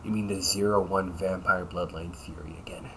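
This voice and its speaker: Bright-voiced, cynical vampire cop, played by a man. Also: low-pitched, fairly even intonation, moderate pace, a little hiss or hum. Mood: dismissive, irritated. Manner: sarcastic, slangy, flippant, sighing. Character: cynical, teasing vampire cop, crude adult brother. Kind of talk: audio roleplay, casual conversational acting.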